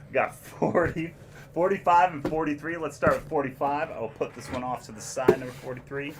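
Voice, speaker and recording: A man's voice: a little high, steady tone, brisk, a little hiss or hum. A man hosting a live memorabilia break, upbeat and casual, reading chat comments aloud. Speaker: host of memorabilia box-break stream.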